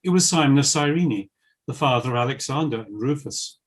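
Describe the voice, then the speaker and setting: A man narrating scripture in a solemn, measured and formal reading style. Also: mid-range voice, animated.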